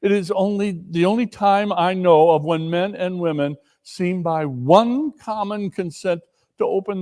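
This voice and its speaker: Guy doing a cheery eager young nephew, high gushing voice, earnest storybook type. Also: a little mellow, natural voice. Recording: live stage reading.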